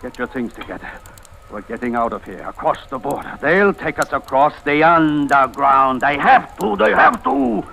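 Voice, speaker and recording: An actor playing a proud, bitter, desperate older husband in a theatrical old radio drama, imperious and sarcastic. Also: male voice, on the high side, lively intonation, normal speed, creaky, sharp tone, full character voice. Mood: frantic. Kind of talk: 1940s-50s radio drama acting. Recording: noisy vintage radio recording.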